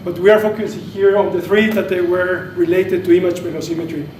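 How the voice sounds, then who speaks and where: A man's voice: high voice, little pitch movement, brisk, a little mellow. A man giving an informal spoken introduction at a training session. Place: indoor training room. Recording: echoey room, distant mic, faint noise.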